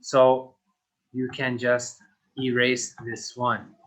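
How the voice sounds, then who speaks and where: A male teacher walks young pupils through an English grammar lesson at an unhurried, careful pace. His pitch sits in the ordinary middle range, and he keeps his wording simple and clear for children. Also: completely flat delivery, fairly bright tone. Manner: speaks slowly and simply for young learners. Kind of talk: slow, deliberate recorded English lesson.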